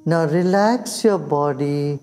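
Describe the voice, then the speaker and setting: Older man, Indian accent, high mellow voice, guided meditation, soothing, long pauses, background music. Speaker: meditation teacher. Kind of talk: guided meditation in English and Hindi.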